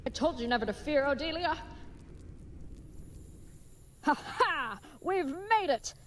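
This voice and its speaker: A woman's voice: sits high, expressive, slow pace, a bit bright, a little hiss or hum, heavily acted. A woman playing a big, earnest, dim-witted young knight in an audio drama, speaking in florid, old-fashioned, chivalrous phrases. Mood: excited, triumphant.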